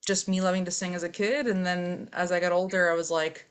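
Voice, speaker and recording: Lower-voiced woman telling her story. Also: a little fast, clear, resonant tone. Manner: relaxed, open storytelling with fillers. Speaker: metal vocalist, guest. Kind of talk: casual interview chat.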